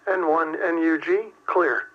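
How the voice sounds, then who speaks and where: A man speaks slowly in short, deliberate pieces, as in a radio check. His slightly high voice comes dull and muffled through a two-way radio receiver. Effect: two-way radio transmission. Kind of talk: radio test transmission, slow counting and call sign.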